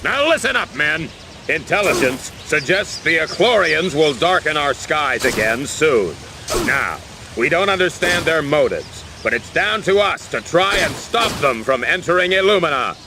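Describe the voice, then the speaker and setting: A bombastic game army general with a creaky, raised voice. He commands and blusters like an impatient drill instructor, his pitch leaping around. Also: sing-song, moderate pace, background noise. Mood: formal, instructing. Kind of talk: video game voice acting, barked drill speeches.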